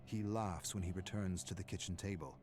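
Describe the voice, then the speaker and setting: A man reading a dramatic scripted story in a measured, brooding way, in short clipped phrases. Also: low-pitched, darkish tone, faint music behind. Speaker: narrator.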